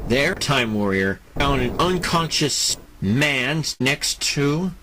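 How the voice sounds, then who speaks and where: A man narrating a scripted superhero audio drama in a deliberate style, with dramatic pauses between phrases. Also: medium pitch, animated, heavy background noise.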